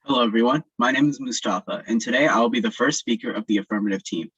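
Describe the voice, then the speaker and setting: Teenage boy, husky voice, dry dull audio. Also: mid-range voice, fast talker, fairly bright tone, no echo. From Texas, United States. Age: teens. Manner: reads definitions steadily, small slips. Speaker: student debater. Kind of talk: reading a prepared debate speech.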